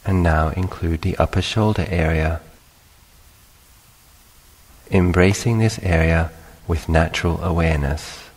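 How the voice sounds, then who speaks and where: A man guides a meditation in a very deep, bass voice. His delivery is slow, calm and instructional, leaving long pauses between short phrases. The sound carries a long reverb, as in a large hall. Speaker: meditation teacher, monk.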